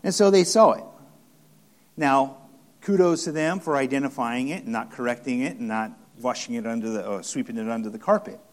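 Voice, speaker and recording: A man preaching an expository sermon, speaking haltingly with many restarts, fillers and repeated phrases for emphasis. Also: mid-range voice. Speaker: pastor.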